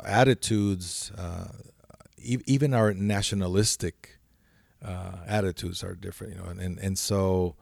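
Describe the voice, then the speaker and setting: A man from El Salvador, speaking as a composer in an interview, often pausing and restarting his sentences. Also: low-pitched, gravelly, darkish tone, no room sound, studio quality. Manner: halting, many restarts and fillers. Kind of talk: reflective interview talk.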